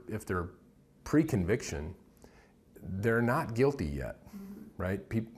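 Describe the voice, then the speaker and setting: Deep-voiced sheriff, halting and explanatory. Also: male voice, darkish tone. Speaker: county sheriff. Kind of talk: unscripted interview answer.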